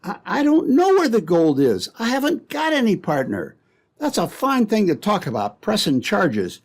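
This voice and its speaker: A man's voice: high-pitched, huge pitch swings, normal speed, exaggerated voice. A man playing a defensive young sled driver in an old-time radio drama, flustered, indignant and protesting.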